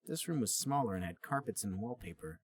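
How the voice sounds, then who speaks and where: Male podcast reader: dramatic, mock-serious narration with very lively pitch movement. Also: fairly quick. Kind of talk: mock-serious comic story reading.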